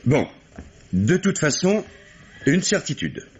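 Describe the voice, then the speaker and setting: An actor playing a courteous but firm police commissioner, measured and polite, in a vintage French radio mystery. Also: male voice, on the high side, big pitch swings, slight background noise. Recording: dull vintage radio recording.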